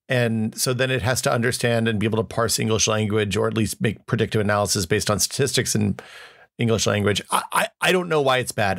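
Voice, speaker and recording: A man's voice: on the low side, dry recording, clean studio sound. A man co-hosting a casual tech podcast, earnest and explanatory, often restarting his sentences. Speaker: podcast co-host.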